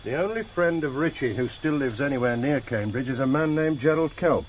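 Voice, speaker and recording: A man's voice: medium pitch, expressive, a little fast, lightly acted, low-quality recording. A man playing an efficient, wry investigator in a radio drama, matter-of-fact and clipped. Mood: businesslike. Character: efficient, wry intelligence officer reporting from files. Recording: hissy vintage radio drama tape.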